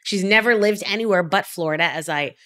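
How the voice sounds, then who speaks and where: A woman from Miami, an author in her thirties or forties, telling her life story as a podcast guest, animated and quick to laugh. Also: medium pitch. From Miami, United States. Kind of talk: casual interview storytelling.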